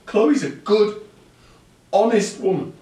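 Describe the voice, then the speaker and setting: An actor playing an earnest, halting brother who confesses and pleads with his sisters in an audio play. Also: high-pitched, lively intonation, mellow, far from the mic. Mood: despairing, upset. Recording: roomy, off-mic, faint background noise.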